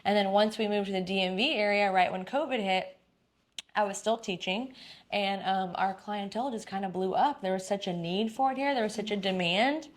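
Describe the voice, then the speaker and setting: Woman, thirties, from Texas, chatty interview guest, upbeat, everyday pitch. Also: fairly quick. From Dallas-Fort Worth, Texas. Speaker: guest, salon owner. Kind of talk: casual interview.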